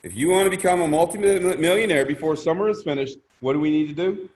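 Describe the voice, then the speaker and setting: A man presenting a motivational sales pitch in an emphatic, theatrical way, repeating phrases and asking rhetorical questions. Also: quick pace, a little mellow, poor audio. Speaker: presenter pitching a crowdfunding scheme.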